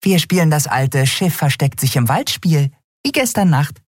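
A man voicing a tiny winged female creature who speaks in a teasing, high, quick way. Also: animated, no echo, clean studio sound. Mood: playful. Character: tiny winged female sprite, cheeky and playful.

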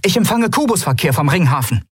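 A man voicing a young female lieutenant giving brisk reports from a communications station. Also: a little high, very animated, slightly rough, no echo, studio quality, voice pushed up, full character voice.

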